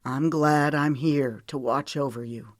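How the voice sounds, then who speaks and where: A protective, weary man in an audiobook drama, acted out by a female narrator. He is tender yet stern, lecturing earnestly in a low voice, recorded dry. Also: steady tone, a little slow, no echo. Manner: earnest, lecturing, folksy storytelling.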